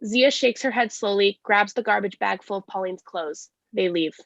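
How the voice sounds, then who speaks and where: A woman's voice: mid-range voice, normal speed, vocal fry, fairly bright tone, no room sound, lo-fi sound. A woman giving scripted narration, reading stage directions at a play reading.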